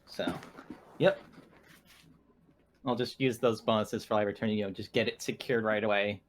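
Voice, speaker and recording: A man's voice: lowish voice, steady tone, moderate pace. A man chatting casually as a player in a tabletop roleplaying game.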